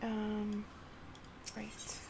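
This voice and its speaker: A woman's voice: mid-range voice, monotone, very slow, vocal fry, darkish tone, slight background noise. A woman streamer reading game dialogue aloud, with casual comments in between. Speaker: streamer playing a story game.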